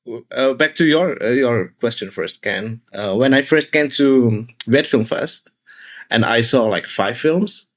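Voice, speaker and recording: A man's voice: mid-range voice, a little slow. A man, a film director guest on a panel, speaking with many fillers and restarts. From Czech Republic. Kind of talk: casual panel conversation.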